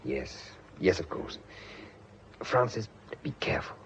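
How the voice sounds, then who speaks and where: Urgent, swinging-voiced British fugitive. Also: male voice, mid-range voice, animated, unhurried, gravelly, fairly bright tone, slightly rough, full character voice. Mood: tense, secretive. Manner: clipped, urgent, insistent. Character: urgent, commanding man on the run, secretly in love. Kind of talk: British radio drama acting. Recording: muffled radio recording, slight noise.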